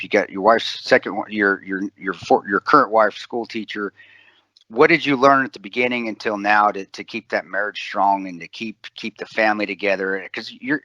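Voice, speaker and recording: A man's voice: on the low side, pushed and bright, no echo. Chummy rambling man, dry lo-fi sound. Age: 40s. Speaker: podcast host. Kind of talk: casual interview talk. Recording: dry, dull lo-fi podcast audio.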